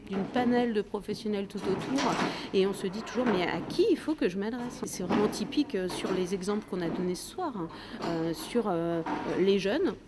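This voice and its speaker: A woman's voice: mid-range voice, animated, normal speed, very noisy. A woman speaking as a local official in an unscripted way, searching for words and restarting her phrases. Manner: hesitant, searching for words, repeating phrase starts. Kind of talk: meeting talk and interview, unscripted.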